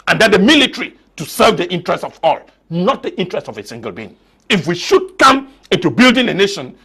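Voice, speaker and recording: A man's voice: sits high, a bit bright, slightly rough. A man arguing insistently as a panelist on a TV debate. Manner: insistent, argumentative.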